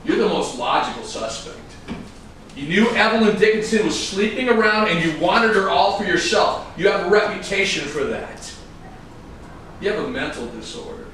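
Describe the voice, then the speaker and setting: A man's voice: high voice, expressive, moderate pace, fairly bright tone. Man acting an accusing investigator, very echoey. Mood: stern, menacing. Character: accusing, relentless police investigator, hard on suspects. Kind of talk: staged reading of a crime drama. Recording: distant, very echoey room, clear background noise.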